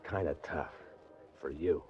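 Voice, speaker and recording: A man's voice: very deep voice, a little slow. An actor playing a sly, slangy tough-guy henchman who taunts like a wise guy in 1940s radio drama style. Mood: mocking. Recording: muffled vintage 1940s radio recording.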